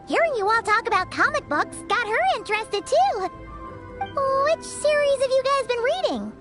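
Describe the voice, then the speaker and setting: A woman's voice: extremely high pitch, very animated, moderate pace, over music. A woman voicing a tiny cheerful floating fairy companion in a video game, childlike, chirpy and blunt. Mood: cheerful, curious. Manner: childlike, chirpy, refers to self in third person. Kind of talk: video game character dialogue.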